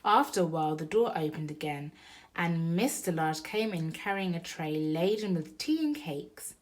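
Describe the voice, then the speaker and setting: A woman's voice: fairly low pitch, animated, moderate pace. A woman storyteller reading a picture book aloud expressively for young children.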